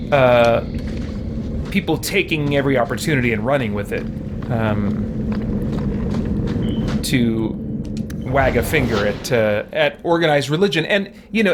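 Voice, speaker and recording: A man giving casual, reflective video game commentary as a let's play host, speaking in a halting, hesitant way. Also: medium pitch. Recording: loud game music behind. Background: video game music.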